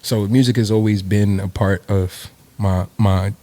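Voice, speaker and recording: A man's voice: fairly low pitch, fairly even intonation, unhurried, warm tone. A young man from New Jersey, a rapper, chatting casually as an interview guest with slang and swearing. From New Jersey, USA. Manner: laid-back slangy, swears freely, laughs.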